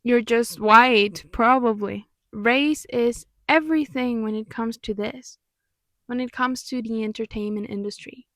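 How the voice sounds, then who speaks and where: Young Mexican-accented woman, higher voice, dry close sound, candid reflective commentary. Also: steady tone, unhurried, a bit bright, dry recording. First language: Spanish. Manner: earnest, candid, sometimes exasperated. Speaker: vlogger and pop artist.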